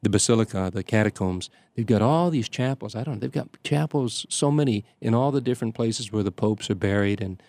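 An older man, a priest from the Chicago area, telling stories in casual conversation, halting often with fillers and restarts. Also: lowish voice, darkish tone, no room sound. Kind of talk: conversational storytelling.

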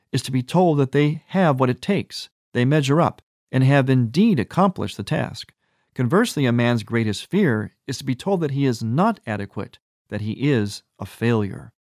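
A man who is a priest, reading a scripted radio spot in an earnest, deliberate way. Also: mid-range voice, no echo, studio quality. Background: soft guitar.

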